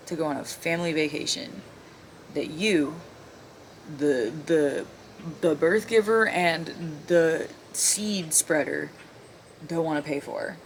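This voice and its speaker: A woman with a fairly low voice reads online stories aloud in a casual way. She adds blunt, sarcastic asides and swears freely. There is noticeable noise behind her. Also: rough recording. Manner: blunt, profane, sarcastic interjections. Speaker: video host reading online stories aloud. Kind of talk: casual commentary while reading stories aloud. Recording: clearly audible background noise.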